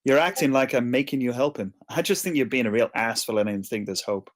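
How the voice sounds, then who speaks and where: Guy acting teasing fed up young dude, talks really fast, sarcastic. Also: mid-range voice, close to an everyday voice. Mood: exasperated. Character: exasperated, teasing young male friend.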